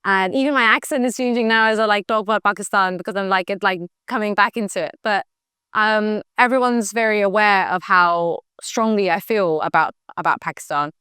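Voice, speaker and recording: A young woman talks freely and eagerly as an interview guest. Her voice is smooth and clear, and it sits in a middle pitch. The sound is close and dry, with no room around it. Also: fairly quick, bright, forceful tone, no echo. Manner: chatty and enthusiastic, full of "like" and "you know". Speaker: guest, former government official. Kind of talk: casual interview answers. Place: campus recording room.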